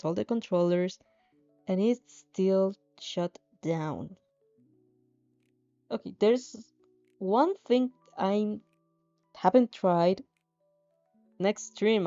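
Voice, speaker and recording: Casting: female streamer, mellow and leisurely, stumbles and sighs through casual chat and story reading. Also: medium pitch, lo-fi sound. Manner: halting, stumbling over words, sighing and chuckling. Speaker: streamer reading fan fiction aloud. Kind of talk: casual livestream chat and expressive read-aloud with asides.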